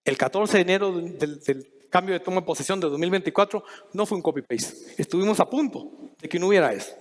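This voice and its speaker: A middle-aged man from Guatemala speaking at a conference in a high, light voice. He talks in a measured way, thinking aloud with restarts and pauses. The sound carries a long hall reverb. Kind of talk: conference talk.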